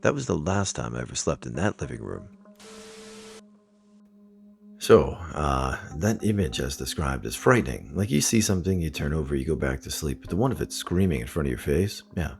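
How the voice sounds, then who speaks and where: A man's voice: a bass voice, big pitch swings, brisk, vocal fry, hardly any pauses. A man narrating first-person scary stories from a script as a storyteller. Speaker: narrator reading online stories aloud.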